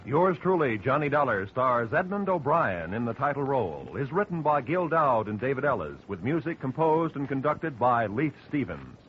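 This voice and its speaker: Lively 1950s radio announcer, man. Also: medium pitch. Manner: formal, measured announcing. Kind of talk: 1950s radio closing credits. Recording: dull lo-fi 1950 radio transcription with music.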